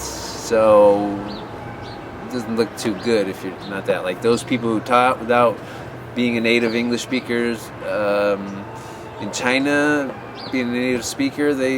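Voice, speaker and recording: Rambling man, street noise. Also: lowish voice. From USA. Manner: rambling, many fillers and restarts. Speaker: English teaching advice vlogger. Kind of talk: unscripted advice talk to camera.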